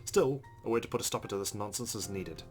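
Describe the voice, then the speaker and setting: A man's voice: fairly low pitch, animated, quick pace, soft background music. A man reading a visual novel aloud as dramatic narration for a let's-play.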